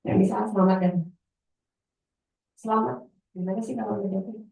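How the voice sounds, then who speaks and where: A woman's voice: mid-range voice, fairly even intonation, normal speed, gravelly, darkish tone. A woman with a Javanese accent, a voice-over trainer giving a casual workshop talk, chatty and loose, often trailing off while searching for words. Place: library workshop room. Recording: roomy, distant, dull sound.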